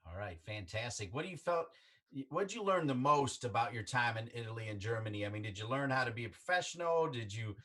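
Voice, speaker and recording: Guy hosting a soccer chat show, upbeat and chatty, mid-pitch voice. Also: lively intonation, fast talker, fairly bright tone. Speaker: interview host. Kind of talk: casual sports interview.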